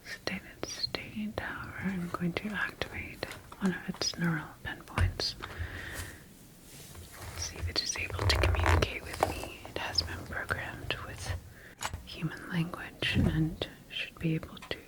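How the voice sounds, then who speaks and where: A woman acts out a calm female scientist in a sci-fi ASMR roleplay. She whispers in a fairly low, breathy voice. Her delivery is gentle and measured, with a clinical air as she attends to her creation. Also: close to an everyday voice, poor audio. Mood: clinical, focused.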